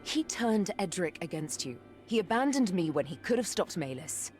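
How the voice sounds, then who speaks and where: A woman's voice: on the low side, sing-song, normal speed, a little mellow. An actress playing a stern, bitter warrior woman in a fantasy video game, speaking tersely and solemnly. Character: stern, bitter, determined warrior woman, former Republican soldier. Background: game music.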